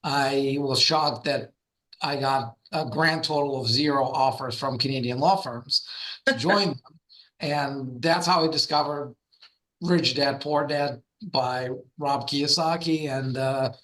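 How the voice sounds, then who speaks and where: Russian-accented middle-aged male guest, mid pitch, conversational storyteller. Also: a little slow, a bit bright, low-quality recording. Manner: storytelling, frequent fillers and restarts. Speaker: guest, trademark firm founder. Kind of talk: podcast interview.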